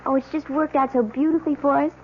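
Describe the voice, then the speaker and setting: An actress playing a giddy, eager young newlywed wife in an old-time radio drama, with a gushing manner. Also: female voice, sits high, steady tone, fast talker, close to an everyday voice. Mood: eager, proud. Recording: tinny, noisy old radio broadcast.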